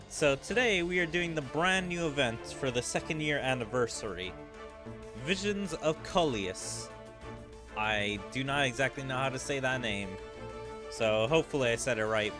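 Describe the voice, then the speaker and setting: Casual male gamer over game music. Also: mid-range voice. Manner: musing, thinking aloud. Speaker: gaming video creator. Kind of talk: casual let's-play commentary.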